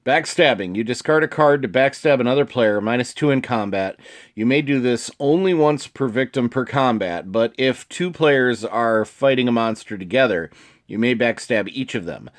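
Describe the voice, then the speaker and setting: A man with a sniffly, allergy-affected voice hosts casually, reading card text aloud with informal asides and some throat-clearing. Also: mid-range voice, lively intonation, normal speed. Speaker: video host.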